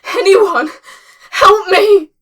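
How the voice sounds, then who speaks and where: A woman's voice: medium pitch, a little slow, a little mellow, close to an everyday voice. A woman voicing a weary, injured young female survivor in a story reading, plaintive and earnest. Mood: desperate.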